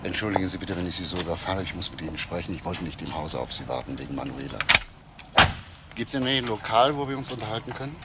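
Low-voiced, persistent police detective. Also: male voice, lively intonation, quick pace, very noisy. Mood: polite, probing. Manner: polite, probing. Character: calm, persistent male police detective questioning suspects. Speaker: actor in a crime radio play. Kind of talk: German crime drama dialogue.

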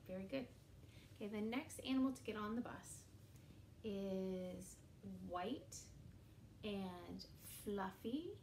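A woman in her forties leads young children through an animal rhyme with puppets, speaking slowly and in an exaggerated sing-song way. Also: on the high side, a little mellow, roomy sound, a little hiss or hum. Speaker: early years educator. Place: small indoor room against a bare wall.

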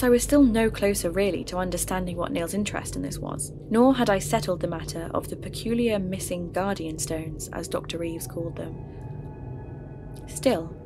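A woman narrating her own investigation in first person for an audio drama podcast, in a measured, reflective storytelling manner. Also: on the low side. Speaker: narrator and host. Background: background music.

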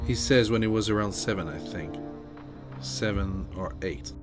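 An actor playing a weary, guarded vampire mentor in an amateur audio drama. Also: male voice, on the low side, moderate pace, over music, natural voice. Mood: guarded, calm.

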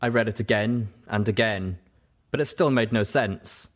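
A man narrating a story in an expressive audiobook style. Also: fairly low pitch, normal speed.

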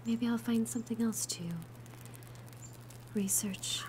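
A woman voicing a gentle, shy young female alchemist in an ASMR roleplay, softly breathy, hesitant and tender. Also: on the high side, flat delivery, takes it slowly, noisy background. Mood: shy, warm. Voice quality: soft, breathy whisper.

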